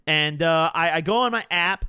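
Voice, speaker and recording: A man's voice: fairly high pitch, moderate pace, clear, resonant tone, dry recording, clean studio sound. A young man hosting his channel, telling a story in a casual, profane, rambling way, packed with "like" and "bro". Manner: animated, puts on voices when quoting people. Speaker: channel host.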